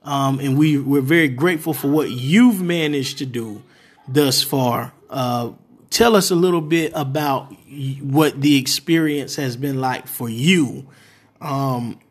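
A man hosting an interview, speaking in a halting way with fillers. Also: mid-range voice, slightly rough. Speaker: interview host.

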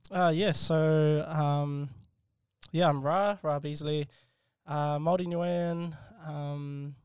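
A man's voice: fairly high pitch, fairly even intonation, slow, clear, resonant tone, dry recording, clean studio sound. A man in his twenties with a New Zealand accent, a guest entrepreneur talking casually and hesitantly about himself. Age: 20s. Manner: soft-spoken, hesitant, many restarts. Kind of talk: casual interview chat.

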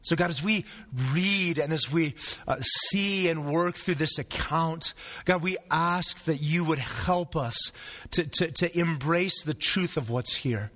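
A man's voice: on the high side, takes it slowly, pushed and bright. A man preaching a sermon as pastor, earnest and repeating phrases for emphasis, with a sore throat and a fading voice.

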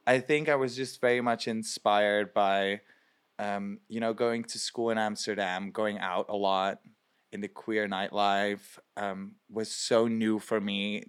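Young man from Belgium, drag performer, low smooth voice, rambling animated interview talk. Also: measured pace. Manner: chatty, lots of fillers, animated quoting. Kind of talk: casual interview.

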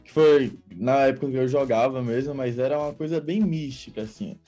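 A man's voice: medium pitch, a little fast, warm tone, faint music behind. A man of about twenty with a Brazilian accent, explaining things casually as an interview guest in a rambling, informal way. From Salvador, Bahia, Brazil. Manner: informal, rambling, quoting himself in dialogue. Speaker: interview guest, crypto investor.

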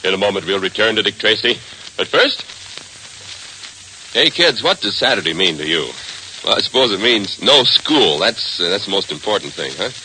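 A man's voice: fairly low pitch, big pitch swings, normal speed, pushed and bright, a little hiss or hum, rough recording. An American man announcing in an emphatic, theatrical 1940s radio style, on a vintage broadcast recording.

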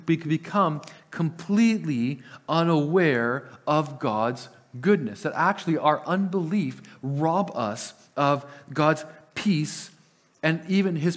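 A man preaching a sermon, earnest and often repeating words as he starts a sentence; Italian was his first language. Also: a little high. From Italian family. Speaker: pastor.

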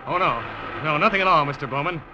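A man's voice: on the high side, fast, noisy background, close to an everyday voice. An actor playing an uneasy ship's officer who tells his own suspense tale in old-time radio style. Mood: anxious. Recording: muffled old radio recording, loud music.

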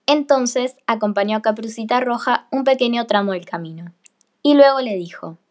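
Higher-voiced woman narrating a fairy tale. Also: normal speed. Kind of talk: scripted storybook narration for radio play.

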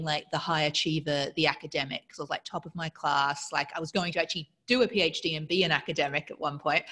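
A woman's voice: sits low, a bit bright. A woman raised in Australia, answering interview questions in a reflective, fluent way, often dropping "like" as she talks. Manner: reflective, fluent, frequent "like" fillers. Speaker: guest speaker.

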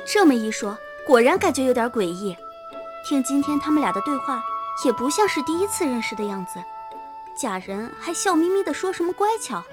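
A woman's voice: high-pitched, sing-song, fairly quick. A woman playing a gossipy, teasing young online friend in an audio drama, playfully interrogating. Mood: suspicious, teasing. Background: faint music.

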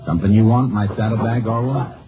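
An actor playing a calm, shrewd Texas Ranger in a 1950s radio drama, speaking laconic, folksy Western American English. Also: male voice, lowish voice, fast talker, background noise, natural voice. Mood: cool, menacing. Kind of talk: 1950s radio drama acting with first-person narration. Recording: muffled old-time radio recording with music.

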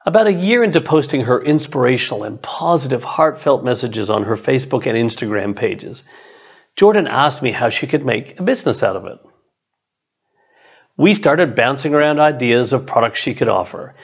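A middle-aged man, an author and coach, reads his own written text as scripted narration in a measured, deliberate way. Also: medium pitch, expressive, slightly rough, dull audio. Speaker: author, business and leadership coach.

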